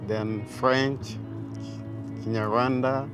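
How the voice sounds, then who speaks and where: A man's voice: medium pitch, steady tone, drawn-out words, music in the background. Man translating plainly. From Congo. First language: Swahili. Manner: short, plain answers, interpreting.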